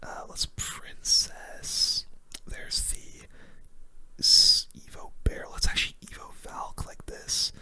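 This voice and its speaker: A gaming host whispering in a breathy, hushed voice close to the microphone, giving casual ASMR commentary while thinking aloud through play. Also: slow pace.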